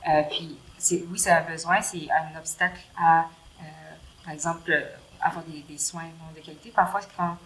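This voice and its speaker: A woman's voice: deep voice, steady tone, normal speed, fairly bright tone, low-quality recording. A woman interviewer, chatting in a loose, engaged way with fillers. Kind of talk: casual chat.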